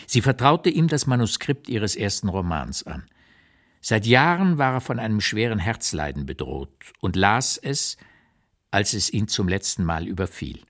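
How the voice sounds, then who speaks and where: An actor playing an aged male writer who looks back on a dead friend in a deliberate, emphatic literary monologue for radio. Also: deep voice, exaggerated voice. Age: older. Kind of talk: literary reading, dramatized memoir monologue.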